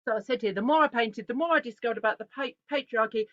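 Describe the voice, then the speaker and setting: A woman talks through a presentation in a chatty, animated, self-interrupting way. Her voice sits a little high. The sound is dry and dull, like lo-fi audio from a video call. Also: fast, no room sound. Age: 40s. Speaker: artist giving a talk. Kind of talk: casual conference presentation.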